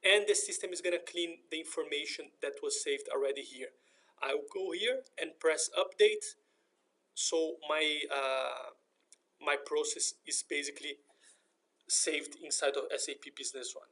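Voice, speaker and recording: A man narrating a step-by-step software screen tutorial in a methodical, explanatory manner. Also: a little high, normal speed. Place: voice-over for a screen recording.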